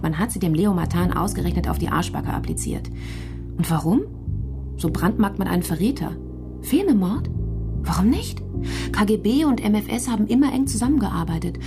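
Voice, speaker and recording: Sharp woman prosecutor thinking out loud in a radio drama, creaky, sarcastic, music behind. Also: medium pitch. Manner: probing, sarcastic inner monologue. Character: sharp, self-questioning female public prosecutor, adult. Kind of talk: radio play acting.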